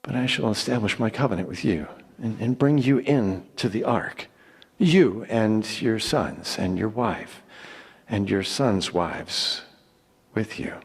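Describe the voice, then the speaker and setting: A man's voice: lowish voice, animated, slow, breathy edge, exaggerated voice. A man voicing God in a dramatic Bible reading, giving grand, declamatory commands with solemn authority. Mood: grave, hushed. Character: God, mighty male deity commanding Noah with solemn authority. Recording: long echoing hall reverb.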